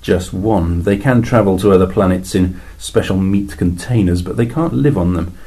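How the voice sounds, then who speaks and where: A man playing a weary, deadpan alien envoy. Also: low voice, moderate pace, slightly rough. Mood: dry. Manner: dry, exasperated explaining with sudden emphatic rises. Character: weary alien male envoy, dry and matter-of-fact. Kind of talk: two-voice reading of a science fiction story.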